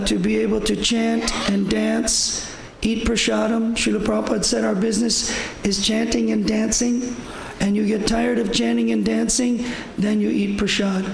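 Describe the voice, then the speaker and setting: An older man with a hoarse voice giving an informal devotional talk at a temple, rambling and repeating himself. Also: high-pitched, flat delivery, a little slow, echoey room, slight background noise, low-quality recording. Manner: rambling, repetitive, with fillers. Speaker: visiting speaker at a temple. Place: temple hall.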